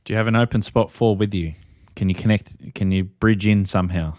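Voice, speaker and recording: Low-voiced man hosting a casual livestream. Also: fairly even intonation, fairly quick, darkish tone, dry recording, studio quality. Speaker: livestream host. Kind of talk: casual live-stream chat about ham radio.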